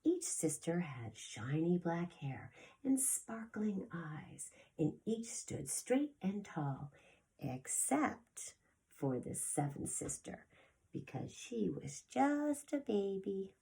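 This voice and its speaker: A woman preschool teacher reading a story aloud to young children, expressive and deliberate, with a breathy voice that often drops toward a whisper. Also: lowish voice. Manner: addresses children directly, expressive with gasps and sound effects.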